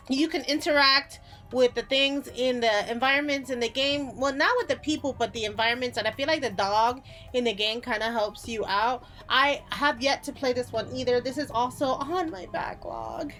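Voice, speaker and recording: Gal w high voice doing a casual top ten games video, chatty n informal, faint music behind her. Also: fairly bright tone. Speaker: video game YouTuber, host.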